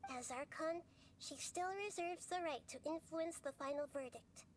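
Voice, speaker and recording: High girlish voice, woman acting childlike game deity, composed, enigmatic, articulate, thoughtful, faint background noise. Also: normal speed, natural voice. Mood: calm, explanatory. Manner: articulate, thoughtful, gently formal. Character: small childlike female god, wise, composed and enigmatic. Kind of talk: video game voice acting, measured explanatory dialogue.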